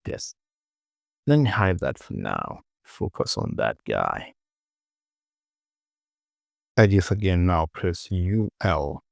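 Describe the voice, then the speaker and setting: Deep-voiced, unhurried man giving a casual tutorial. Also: big pitch swings, vocal fry, no echo, clean studio sound. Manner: informal, repeats words and short phrases. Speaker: 3D modelling tutor. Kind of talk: casual screen-recorded software tutorial.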